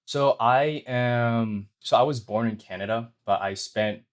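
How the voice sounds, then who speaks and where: A young man who grew up in China, talking about his career as a guest on a podcast in a measured, thoughtful way. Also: mid-range voice. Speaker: guest, sound designer. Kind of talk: interview answers.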